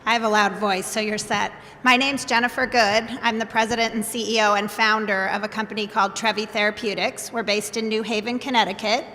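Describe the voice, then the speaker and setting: Bright-voiced woman in a reverberant hall. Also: mid-range voice, steady tone, brisk, few pauses. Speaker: biotech company founder and CEO. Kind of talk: panel introduction. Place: conference hall.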